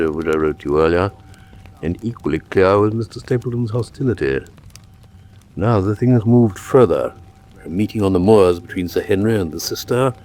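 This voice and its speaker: An actor playing an earnest, gentlemanly English detective's companion in a radio drama, with formal, measured diction. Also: male voice, lowish voice, natural voice. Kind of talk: radio drama acting, reading letters aloud.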